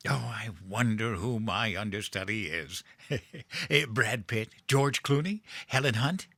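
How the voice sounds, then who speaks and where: An actor playing a cheerfully oblivious, pompous President in a comedic audio drama, musing in a self-satisfied, puzzled way. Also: male voice, fairly low pitch, expressive, a little slow, bright, forceful tone, dry recording, studio quality, exaggerated voice.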